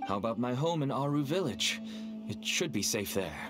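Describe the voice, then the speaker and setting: A man's voice: medium pitch, expressive, normal speed, close to an everyday voice. A man voicing a nervous, wheedling middle-aged father and dealer in a game, with a persuasive manner. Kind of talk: game voice acting. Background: game music.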